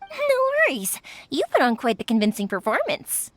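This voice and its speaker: A woman's voice: a little high, wildly swooping pitch, normal speed. A woman playing a cheerful, eager young fireworks shop owner in a video game, with a breezy, colloquial delivery. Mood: cheerful, friendly. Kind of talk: animated video game voice acting.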